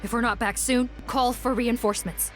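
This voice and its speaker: A woman's voice: on the high side, steady tone, moderate pace, over music, close to an everyday voice. An actress playing a serious young woman police captain in a video game, composed and decisive as she gives orders. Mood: commanding.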